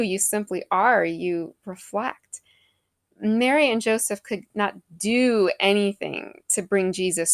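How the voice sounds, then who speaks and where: A woman's voice: on the high side, a bit bright, no room sound, studio quality. A young adult woman giving a faith reflection in an earnest, conversational, thoughtful way. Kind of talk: devotional talk.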